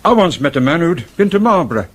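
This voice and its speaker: A man's voice: on the high side, big pitch swings, quick pace, a bit bright, a little hiss or hum. A man acting in a radio drama as a fussy, self-righteous older copywriter, pompous and precise, with an English accent. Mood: chatty, resentful.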